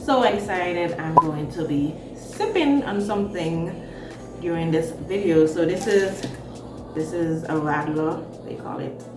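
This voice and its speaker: A woman's voice: fairly low pitch, measured pace, reverberant, recorded from a distance, loud music behind the voice. A woman in her thirties from Jamaica with a Jamaican accent mixed with Bahamian, chatting casually and expressively to viewers as a vlogger. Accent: Jamaican with Bahamian mix. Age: 30s. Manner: chatty, expressive, repeats words for emphasis. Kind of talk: casual storytime chat.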